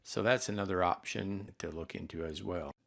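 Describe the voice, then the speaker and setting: A man giving an unscripted product review voice-over, explaining things in a halting way with frequent hesitations. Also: deep voice, creaky, a bit bright. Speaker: product reviewer and intercom seller.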